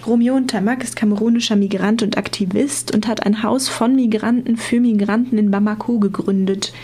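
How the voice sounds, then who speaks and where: A woman's voice: mid-range voice, fairly even intonation, moderate pace, mellow, soft background music. A woman reporter reading scripted documentary narration for a radio feature.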